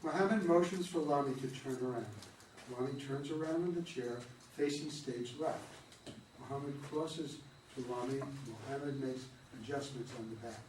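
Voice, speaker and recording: A man reads stage directions in a plain, matter-of-fact voice of middle pitch. He is heard from a distance on a stage, with a roomy echo and a faint hiss behind him. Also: normal speed. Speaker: narrator reading stage directions. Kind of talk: reading stage directions aloud. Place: stage in a theatre.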